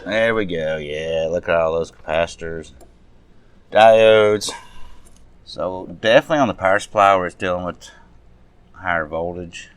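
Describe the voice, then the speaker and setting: A man's voice: low-pitched, unhurried, pauses often, clean tone. A man giving a casual, unscripted hands-on walkthrough as a hobbyist, muttering to himself in folksy, informal speech as he works. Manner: unscripted, muttering to self while working. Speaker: radio restoration hobbyist, presenter.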